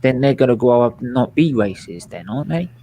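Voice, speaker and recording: A man's voice: medium pitch, brisk, slight background noise. Blunt guy in his twenties. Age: 20s. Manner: blunt, excitable, swears freely. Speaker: watchalong host. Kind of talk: live stream chat and football reactions.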